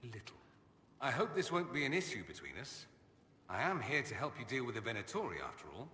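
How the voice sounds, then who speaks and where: A man's voice: medium pitch, very animated, fast talker, bright, forceful tone, big-room sound, a little hiss or hum. An actor playing a witty, flirtatious male mage who talks in a dry, teasing, sardonic way. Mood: teasing, wry. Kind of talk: video game voice acting.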